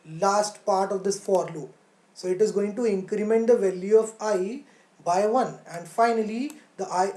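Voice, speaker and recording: A woman's voice: mid-range voice, warm tone. Woman teaching in an echoey room. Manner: halting, thinking aloud, repeats phrases for emphasis; poses questions and answers them. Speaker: coding teacher. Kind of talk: live online tutorial, explaining step by step. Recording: roomy, echoey sound.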